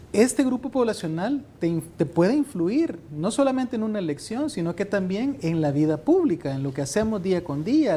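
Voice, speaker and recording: Mellow-voiced man debating. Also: high voice. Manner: voseo, rephrasing with tag questions. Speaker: debate panelist. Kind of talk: debate argument.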